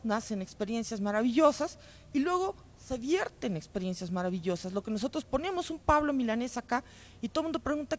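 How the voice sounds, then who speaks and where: Mexican woman, festival organizer as live guest. High voice, lively swings, expressive delivery. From Chiapas, Mexico. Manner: expressive, repeats words for emphasis. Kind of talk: live on-stage interview, spontaneous talk.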